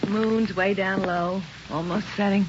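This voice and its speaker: An actress playing a gentle, agreeable middle-aged wife and mother in a soft 1940s radio drama style. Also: medium pitch, fairly even intonation, noisy background, natural voice. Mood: tender, serene. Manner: soft, unhurried. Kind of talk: 1940s American radio acting. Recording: muffled vintage radio under loud music.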